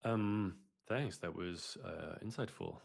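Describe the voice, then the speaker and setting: Low-voiced halting podcast host. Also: male voice, vocal fry. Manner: halting, searching for words, frequent repeats. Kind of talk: casual interview.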